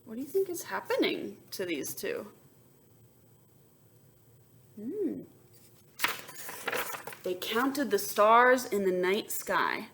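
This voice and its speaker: A woman reading a children's story aloud in an expressive way, pausing with questions and asides for young listeners. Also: mid-range voice, noisy background. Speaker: picture book reader. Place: indoors, book held up to camera.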